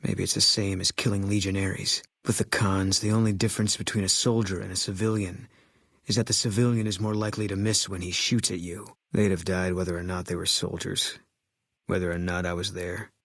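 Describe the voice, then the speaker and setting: A haunted ex-soldier sniper from a video game, speaking in a deep, husky voice. He is terse and stoic, with a grim fatalism in his delivery. The sound is dry and close. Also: level tone, fairly quick, a bit bright, no echo, heavily acted. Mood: haunted, resigned. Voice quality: low, husky. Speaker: video game companion character.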